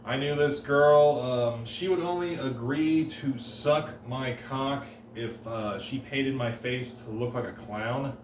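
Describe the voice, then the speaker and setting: A man doing deadpan one-liner stand-up comedy on a stage, halting and restarting between jokes. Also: medium pitch, a little hiss or hum. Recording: roomy live room, heard from a distance.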